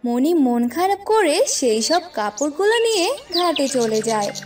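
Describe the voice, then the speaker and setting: A woman's voice: high-pitched, very animated, measured pace, far from the mic, music in the background. A woman narrating a scripted fairy tale for children as a cartoon storyteller.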